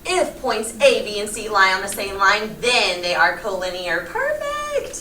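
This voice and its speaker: A woman from Texas teaching a geometry class, lively and playful as she coaxes her students along. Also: medium pitch, measured pace. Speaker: high school geometry teacher. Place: classroom, recorded from the back. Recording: echoey classroom, faint room noise.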